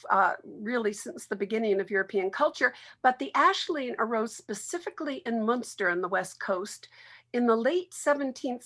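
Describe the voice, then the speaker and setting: A woman's voice: medium pitch, a bit bright. A woman speaking as a literary expert in an interview, explaining her subject at length.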